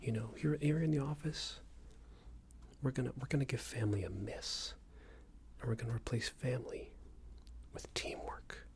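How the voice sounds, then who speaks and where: A man doing an ASMR roleplay as a passive-aggressive company boss, speaking in a soft, breathy whisper with hesitant, patronising phrasing. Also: mid-range voice, normal speed. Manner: hesitant, repetitive, patronising workplace talk. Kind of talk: ASMR roleplay, improvised monologue.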